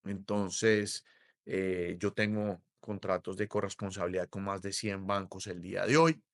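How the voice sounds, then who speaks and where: A middle-aged Colombian man with a Colombian accent, explaining mortgages as an interview guest in a measured way, with many hesitations. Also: sits low, steady tone, dry recording, studio quality. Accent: Colombian Spanish. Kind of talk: interview conversation.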